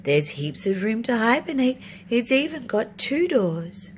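A woman voicing a sneaky, flattering male fox from a children's storybook, with a pushy salesman's patter. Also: a little high, a little slow. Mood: eager selling. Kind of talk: children's storybook read aloud. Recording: noisy home recording.

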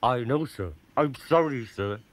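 A man voicing a cheeky, boastful young male tank engine who talks in an excitable, mischievous way. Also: mid-range voice, unhurried, natural voice. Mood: sheepish. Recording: music playing behind.

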